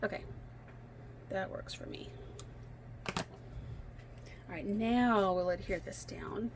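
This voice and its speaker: A woman's voice: medium pitch, slow. Woman, slightly froggy, chatty and self-deprecating, craft tutorial, home desk, faint room hum. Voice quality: slightly froggy, hoarse from allergies. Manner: chatty, self-deprecating, thinks aloud while working. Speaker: card-making demonstrator. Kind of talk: casual craft tutorial chat. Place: home craft table, indoors.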